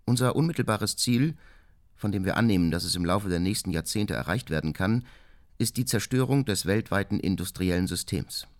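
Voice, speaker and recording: An actor playing a cold, embittered anarchist bomber in a radio drama, delivering deliberate, self-justifying confessions. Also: male voice, fairly low pitch, lively intonation, no echo, studio quality, close to an everyday voice. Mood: cold, menacing.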